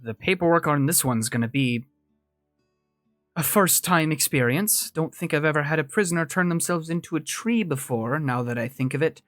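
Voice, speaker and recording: A man's voice: fairly high pitch, normal speed, a bit bright, dry recording, soft background music, natural voice. A man voicing a dry, wry, seasoned female law officer in a tabletop game.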